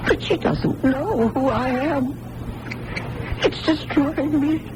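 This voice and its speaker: A woman's voice: high voice, takes it slowly. A woman playing an anguished, devoted older aunt in a 1980s radio drama, with emotional, faltering delivery. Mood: anguished, tearful. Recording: noisy old radio broadcast recording.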